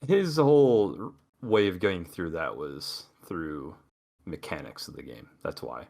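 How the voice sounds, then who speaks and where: A man's voice: low voice, lively intonation, unhurried, creaky, darkish tone. A man co-hosting a gaming podcast, talking in a casual, rambling way with many restarts. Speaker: podcast co-host.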